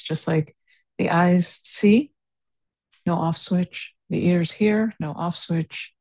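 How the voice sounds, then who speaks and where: A woman guiding a meditation, slow, soft-spoken and unhurried, with long pauses. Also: on the low side, husky, no room sound, rough recording. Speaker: meditation teacher. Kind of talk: guided meditation.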